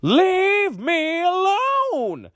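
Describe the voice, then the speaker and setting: A woman's voice: huge pitch swings, dry recording, full character voice. Man putting on a shrieking, exasperated old grandmother. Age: older. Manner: drawn-out, high-pitched yelling. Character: exasperated old grandmother shouting to be left alone. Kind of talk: storybook character voice.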